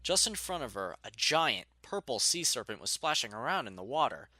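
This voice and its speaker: A man narrating a scripted story in an expressive storytelling manner. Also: mid-range voice, normal speed, no echo.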